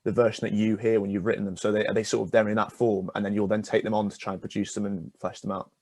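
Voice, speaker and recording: Male radio interviewer, young, quick pace, lowish pitch, encouraging, wordy tentative questions, dry close sound. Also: level tone, darkish tone, no echo. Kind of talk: casual interview.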